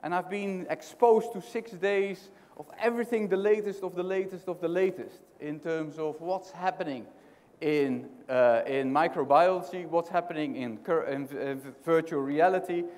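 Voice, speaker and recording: A man's voice: a little high, brisk, a little mellow. A middle-aged man with a Dutch accent giving a conversational keynote talk, often restarting phrases and asking the audience questions. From Netherlands. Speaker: conference speaker. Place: large hotel conference hall. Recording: hall reverb.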